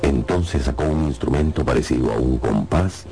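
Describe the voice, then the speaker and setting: An actor playing an English riverboat pilot who recalls his voyage in a reflective, expressive radio drama narration. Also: extremely low pitch, moderate pace, vocal fry, music in the background. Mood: reflective, wistful.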